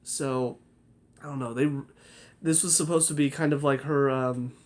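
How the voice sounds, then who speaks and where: Male reviewer, medium pitch, chatty and critical, trails and restarts lines. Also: steady tone, normal speed. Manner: critical, rambling, restarts sentences. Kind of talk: casual spoken review.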